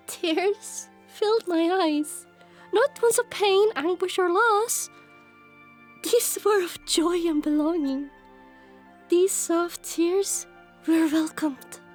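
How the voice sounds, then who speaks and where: High-pitched, slow, emotive woman narrator. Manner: expressive, emotive. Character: young pony heroine, emotional and earnest. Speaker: audiobook narrator. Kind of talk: dramatic first-person story narration. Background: music under the voice.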